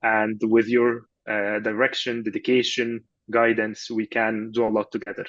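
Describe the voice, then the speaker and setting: A man's voice: on the low side, monotone, normal speed, a bit bright, dry recording, muffled recording. A man from Egypt giving a thank-you speech on an online business call, hesitant and full of fillers and repeated words. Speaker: guest speaker. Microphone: video call.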